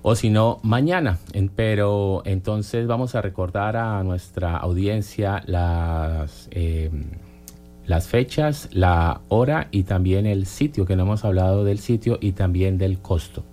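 Deep-voiced man hosting a chat. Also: slow, mellow, slight background noise. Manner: rambling, friendly questions with many fillers. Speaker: radio host. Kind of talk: casual interview.

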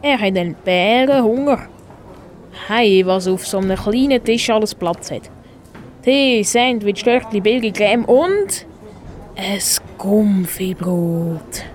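A woman's voice: medium pitch, animated, slow, darkish tone. A woman with a Swiss German accent narrating a scripted radio play for children. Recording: faint background noise.

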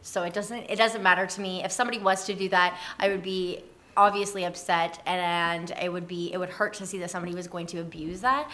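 A woman's voice: on the low side, fairly even intonation, fairly quick, sharp tone. A woman speaking as a podcast guest, earnest and informal, in casual conversational interview style.

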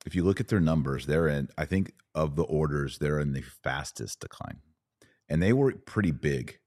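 A man speaking as a guest in a conversational podcast discussion, choosing his words in a halting, earnest and deliberate way. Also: very deep voice, animated, darkish tone.

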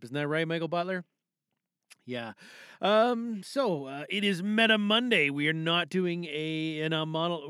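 A man's voice: on the high side, fairly bright tone, smooth, dry recording, clean studio sound. A middle-aged man with a Canadian accent, hosting a podcast in a casual, rambling, conversational way from a home booth. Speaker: podcast host. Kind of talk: casual chat.

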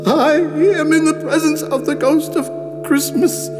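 An actor playing a fearful, humbled old miser in a radio drama, with hesitant, theatrical dread. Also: male voice, very high voice, fairly even intonation, unhurried. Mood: fearful, resolute. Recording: hall reverb with loud music behind.

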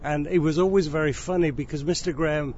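A man's voice: on the high side, fairly even intonation, fast talker, a little mellow. A man from England, hosting a podcast interview, telling anecdotes and laughing easily. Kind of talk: chatty interview. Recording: dull lo-fi audio with faint background noise.

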